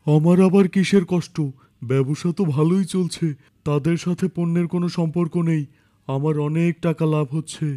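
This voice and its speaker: A man's voice: fairly high pitch, fairly even intonation, moderate pace, dark tone, lightly acted. A man voicing a greedy adult shopkeeper in a cartoon, curt and dismissive. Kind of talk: cartoon dialogue.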